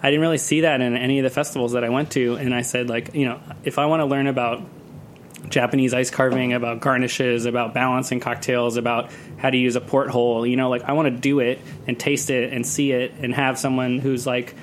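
A man's voice: medium pitch, flat delivery, fairly quick, slight background noise. A man speaking as an interview guest, casually explaining his work in a chatty way with plenty of "like". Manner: chatty, uses "like" often. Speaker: guest, cocktail festival organizer. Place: radio studio.

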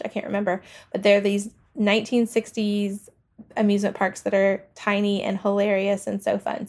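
A woman's voice: mid-range voice, gravelly, darkish tone. A woman with an American accent chatting casually as a podcast guest. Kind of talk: casual chat.

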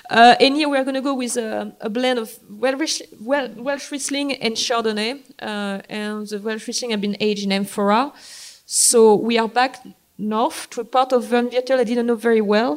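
French woman moderating a wine panel. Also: fairly high pitch. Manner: chatty, laughing, halting with many fillers. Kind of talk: live panel discussion. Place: event hall.

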